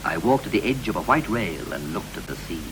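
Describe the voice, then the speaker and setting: An actor playing a weary, brooding ageing husband in a 1960s poetic radio play, speaking in deliberate, declamatory phrases. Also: male voice, lowish voice, lively intonation, lightly acted. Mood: wistful. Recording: noisy, hissy 1960s radio recording.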